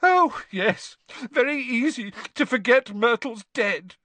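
Male reader as teenage girl ghost, very high, shrill, wailing, glum, self-pitying, easily offended, storybook character, swooping intonation. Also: very animated, a bit bright, dry recording, heavily acted. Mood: aggrieved, self-pitying. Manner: wailing, drawn-out, mournful delivery.

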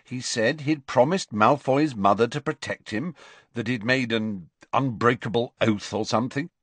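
A man's voice: mid-range voice, normal speed, a bit bright, breathy edge, dry recording, pitched above the performer's own voice. A man voicing an exasperated, dry-witted teenage boy wizard in a storybook, insistent and sarcastic.

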